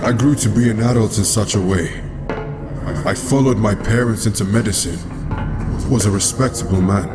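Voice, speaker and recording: A man playing a solemn, resentful doctor devoted to a moon god in a comic dub, speaking in formal, measured phrases. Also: fairly low pitch, natural voice. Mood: somber reflective. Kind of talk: dramatic comic dub monologue. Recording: loud music under the voice.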